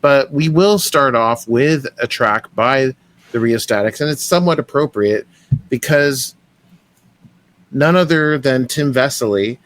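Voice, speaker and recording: A man's voice: medium pitch, unhurried, fairly bright tone. A man co-hosting a casual podcast, eagerly introducing a guest with frequent restarts, over a remote call. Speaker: podcast co-host. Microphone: remote call mic.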